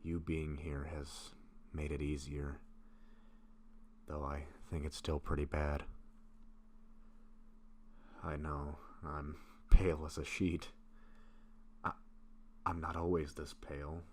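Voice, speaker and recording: A man acting a gentle, bashful, sick vampire in an intimate roleplay audio, speaking softly and haltingly to a close friend. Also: a bass voice, little pitch movement, a little hiss or hum. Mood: weary, evasive. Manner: soft-spoken, hesitant, halting with pauses.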